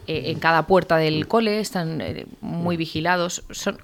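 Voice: mid-range voice, fairly even intonation, unhurried, vocal fry. An interviewer asking casual questions in a hesitant, rambling way with many fillers.

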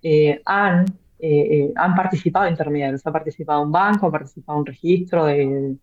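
Woman, low pitch, hesitant, fillers and restarts, interview guest, muffled lo-fi sound. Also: fairly quick. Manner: hesitant, many fillers and repeated words. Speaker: author and blockchain real estate guest. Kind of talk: interview answers. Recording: dull lo-fi sound.

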